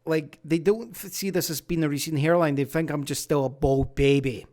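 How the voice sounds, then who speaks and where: A man's voice: on the high side, a little fast, darkish tone. A man of thirty-two chatting casually as a player, laid-back and laughing along. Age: 30s. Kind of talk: casual chat.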